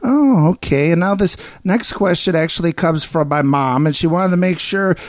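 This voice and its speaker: A man's voice: fairly high pitch, moderate pace, no room sound, clean studio sound. A man hosting a radio interview, enthusiastic and stumbling over his words as he asks questions. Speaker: radio host. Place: radio studio.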